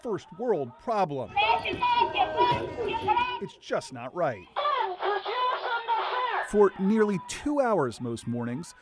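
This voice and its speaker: Male American TV news reporter, higher-set voice, very expressive scripted delivery. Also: drawn-out words, long pauses, very noisy. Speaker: investigative reporter.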